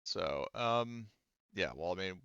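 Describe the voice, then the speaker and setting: A man running a tabletop roleplaying game as game master, feeling his way through the narration with halting, repetitive phrasing. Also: low voice, lively intonation, fairly bright tone, smooth, dry recording, dull audio. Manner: halting, hesitant phrasing, repeats "you know" and "like".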